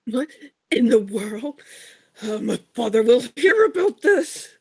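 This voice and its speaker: Woman as a husky, slow, haughty teenage boy. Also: fairly high pitch, big pitch swings, no room sound, heavily acted. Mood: indignant. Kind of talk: amateur audio drama acting.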